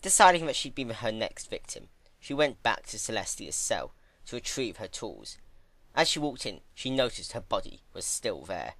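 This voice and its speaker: A man giving a dramatic narrated reading of a dark fan fiction story. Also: on the low side, sing-song, normal speed, dry recording.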